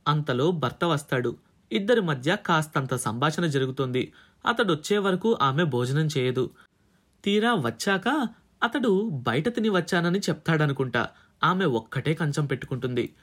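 Expressive high-pitched male audiobook narrator. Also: moderate pace. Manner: dramatizes dialogue between characters. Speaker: audiobook narrator, radio jockey.

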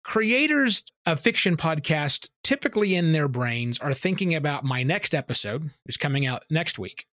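Emphatic man, higher voice, dry close sound. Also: very animated, moderate pace, hardly any pauses, fairly bright tone, no room sound. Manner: opinionated, emphatic, explains with examples. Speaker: podcasting guest expert. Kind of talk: conversational interview answers.

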